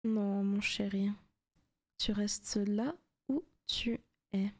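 Soft, teasing demon girlfriend acted by a woman. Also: medium pitch, fairly even intonation, very slow. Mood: taunting, possessive. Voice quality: soft, often breaking into whispers. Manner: teasing, coaxing, possessive. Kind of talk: intimate ASMR roleplay.

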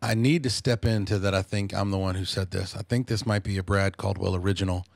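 A man in his fifties talking reflectively as a podcast guest, thoughtful and measured, with many restarts. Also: low voice, steady tone, vocal fry, no echo. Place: small podcast studio. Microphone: studio microphone on a boom arm.

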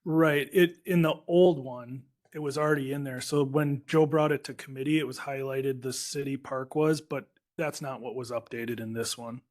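A man's voice: medium pitch, fairly even intonation, moderate pace, hardly any pauses, a little mellow. A man explaining things plainly and informally as an official in a meeting. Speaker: county official at a board meeting.

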